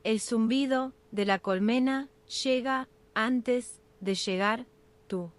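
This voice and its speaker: A woman narrating a scripted ASMR guided sleep meditation in short, unhurried phrases with pauses. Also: fairly high pitch.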